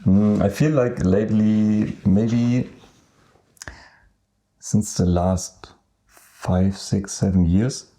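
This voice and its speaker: A young man from Germany with a German accent, co-hosting a casual podcast and talking hesitantly with many pauses. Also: lowish voice, warm tone. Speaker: podcast co-host. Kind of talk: casual chat.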